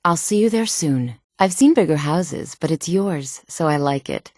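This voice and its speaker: A woman voicing a proud, secretive exiled noblewoman in a fantasy video game, with a sultry, velvety voice and measured, dramatic, formal delivery. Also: deep voice, expressive, a little mellow, no room sound, studio quality. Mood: silky, affectionate.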